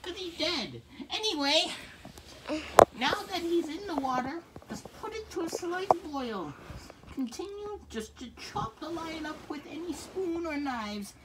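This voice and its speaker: Someone putting on a very high-pitched grandma teddy bear. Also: big pitch swings, measured pace, exaggerated voice. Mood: muddled, matter-of-fact. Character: elderly grandma teddy bear hosting a cooking show, cheerfully muddled. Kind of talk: amateur puppet skit cooking show. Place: small bedroom, indoors. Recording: noisy home recording with clicks.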